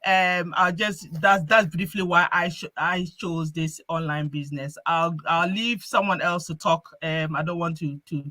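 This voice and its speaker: A woman's voice: fairly low pitch, steady tone, normal speed, hardly any pauses, bright, forceful tone, no echo. A woman hosting a live-stream group chat, talking in a chatty way and often restarting her phrases. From Ghana. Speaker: host.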